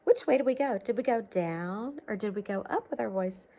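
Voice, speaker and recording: A woman's voice: mid-range voice, expressive, normal speed, dark tone. A woman reading a picture book aloud to children, expressively, with coaxing questions and asides to her listeners.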